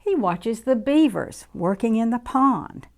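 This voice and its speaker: Female children's storytime reader. Unhurried, expressive, sing-song delivery with plenty of pitch movement. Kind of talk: read-aloud storytime for young children.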